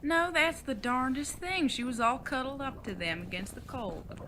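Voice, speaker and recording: A woman's voice: high voice, normal speed, noisy background, natural voice. A woman acting in an audio drama as a retired outlaw mother, older, fond and teasing, with a folksy Old West way of talking. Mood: fond scolding.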